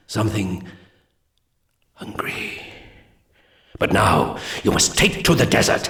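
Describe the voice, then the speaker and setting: A man's voice: low-pitched, gravelly, fairly bright tone, reverberant, heavily acted. An actor playing a solemn ancient male alien seer who gives battle briefings in a grave, portentous voice. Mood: foreboding. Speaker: mission briefing narrator. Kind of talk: solemn game cutscene narration.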